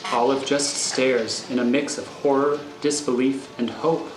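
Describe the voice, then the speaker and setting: A man's voice: mid-range voice, fairly even intonation, measured pace. A man reading scripted screenplay narration as the narrator of a thriller. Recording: roomy recording over faint music.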